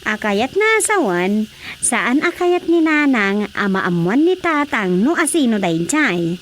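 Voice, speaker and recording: Concerned grown daughter, Filipino accent, high expressive voice, radio drama, faint background noise. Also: female voice, a little slow. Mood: worried, concerned. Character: worried married daughter, concerned for her quarreling parents.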